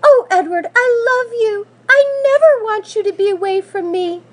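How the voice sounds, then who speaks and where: A woman's voice: extremely high pitch, lively intonation, moderate pace, background noise, voice pushed up. A woman voicing an anxious, tenderly devoted ten-year-old girl in a storybook, pleading and full of emotion. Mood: tearful, tender.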